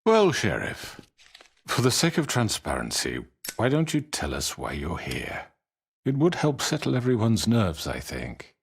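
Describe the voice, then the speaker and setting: A menacing video game crime lord. His voice is very deep and husky, and it glides up and down expressively. He speaks with formal, unctuous courtesy, suave but threatening. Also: huge pitch swings, heavily acted. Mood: coolly mocking. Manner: unctuous, formal, measured politeness. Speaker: crime boss. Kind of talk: dramatic video game acting.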